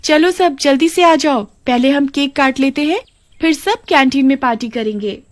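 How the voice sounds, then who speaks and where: Woman doing a high, bossy cartoon schoolgirl with a cheerful, mean edge. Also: moderate pace, no echo, close to an everyday voice. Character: proud, mean young schoolgirl.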